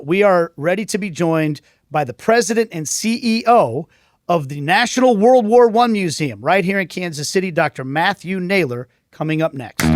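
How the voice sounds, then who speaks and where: A man's voice: high-pitched, no room sound, studio quality. Upbeat man hosting a trivia quiz. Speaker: podcast host. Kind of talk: casual trivia quiz and show intro.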